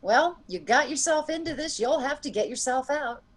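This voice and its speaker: A woman's voice: high voice, expressive, normal speed. A woman acting in a 1940s-style radio drama as a wry, practical confidante with a teasing, matter-of-fact way of talking. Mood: wry, amused.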